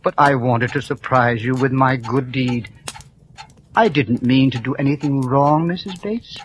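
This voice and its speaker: An actor playing a courteous old gentleman. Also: medium pitch, a little slow, natural voice. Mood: apologetic. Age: older. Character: polite, mild old gentleman lodger. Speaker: elderly lodger. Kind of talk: 1940s radio acting. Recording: hissy old radio broadcast.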